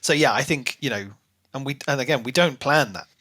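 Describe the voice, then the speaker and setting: A man's voice: medium pitch, brisk, bright, forceful tone. A man co-hosting a podcast, talking informally and casually as he discusses a book. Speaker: podcast co-host.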